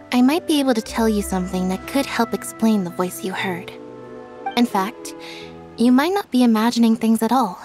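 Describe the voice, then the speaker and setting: A woman's voice: medium pitch, a little mellow, natural voice. Woman as a composed, reserved young game heroine. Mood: thoughtful. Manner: measured, matter-of-fact. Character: composed young female magician's assistant, reserved and thoughtful. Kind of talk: video game voice acting.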